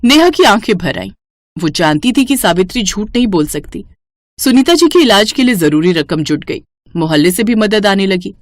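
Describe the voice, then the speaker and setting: A woman storyteller narrates a scripted moral tale. Her voice sits at a middle pitch, and the recording is dry and close, with no room around it. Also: very animated, moderate pace, no room sound.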